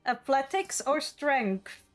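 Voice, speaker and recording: A woman's voice: high voice, big pitch swings, takes it slowly, music in the background. A woman running an online tabletop roleplaying game as game master, narrating and calling for rolls.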